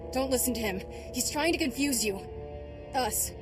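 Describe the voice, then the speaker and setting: An actress playing a spirited, guarded young Jedi apprentice in a video game, speaking bluntly with a wry edge. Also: female voice, high-pitched, moderate pace, over music, close to an everyday voice. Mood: alarmed defiance. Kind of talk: dramatic video game acting.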